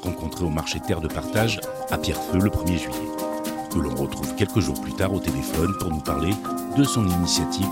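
A man's voice: extremely low pitch, expressive, fairly quick, loud music behind the voice. A man hosting a radio interview, introducing his guest and putting questions to them.

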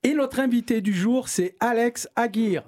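A man's voice: very high-pitched, normal speed, a little mellow. A man hosting a humorous talk show, playful and teasing, with frequent fillers. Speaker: podcast host.

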